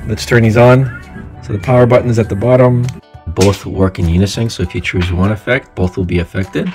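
A man's voice: on the low side, steady tone, fairly quick, darkish tone, faint music behind. A man giving a casual, chatty, salesy product review.

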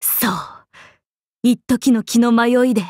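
A woman voicing a playful, cheerful young swordswoman in an anime mobile game, breezy, expressive and teasing like a big sister. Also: on the high side, slow, dry recording, clean studio sound. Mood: mock solemn. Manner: breezy, expressive, teasing, casual with sudden formal turns.

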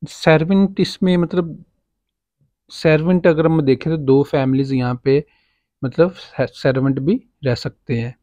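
A man's voice: on the high side, steady tone, measured pace, mellow, no room sound. A man with a Pakistani Urdu accent gives a casual walking tour of a farmhouse as a property agent, pointing things out as he goes with many fillers. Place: outdoors on a farm property, walking.

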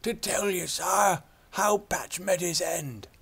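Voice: mid-range voice, slow pace, gravelly, a bit bright, husky, voice pushed up, heavily acted. A reader doing a tearful old rustic shepherd. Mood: mournful. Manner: rustic country dialect, dropped grammar.

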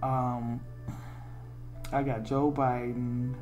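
A woman's voice: a bass voice, flat delivery, very slow, dark tone, soft background music. An American woman giving a casual, chatty tarot reading, musing aloud in informal, slangy, salty language. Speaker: tarot reader.

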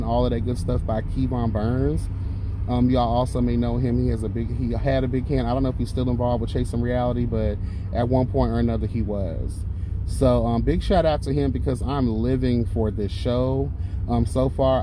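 A young man from Florida, chatting while driving in a sassy, chatty way, calling out "girl" and "honey" as he talks about the shows he watches. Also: medium pitch. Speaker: vlogger. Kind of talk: casual chat while driving. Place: inside a car, driving. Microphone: phone mounted on the dashboard. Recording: car phone recording with road noise. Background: car and traffic noise.

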